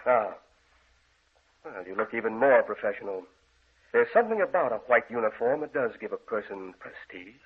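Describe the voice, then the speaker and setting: An actor playing a grave, controlling country gentleman, speaking formally and solemnly in an old radio drama. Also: lowish voice, lively intonation, moderate pace, breathy edge. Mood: courteous, businesslike. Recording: muffled, tinny old radio recording.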